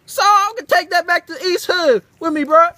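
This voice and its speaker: A performer voicing an excitable, greedy puppet schemer in slangy, exclamatory, repetitive talk for an amateur puppet show. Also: very high-pitched, moderate pace, no echo. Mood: scheming.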